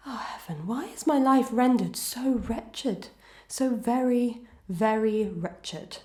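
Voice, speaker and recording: A woman's voice: fairly high pitch, expressive, takes it slowly, a little mellow. A woman playing a sorrowful, dignified wife torn between love and duty in a Victorian melodrama, declaiming formally with pathos. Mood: tormented sorrow. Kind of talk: Victorian melodrama audio acting. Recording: echoey, reverberant room.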